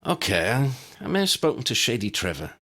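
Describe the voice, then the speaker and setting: A man's voice: medium pitch, sing-song, brisk, bright, forceful tone, voice pushed up. A man voicing a cocky, stubborn young male reporter in an audiobook reading, with a bold, insistent delivery. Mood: breezy.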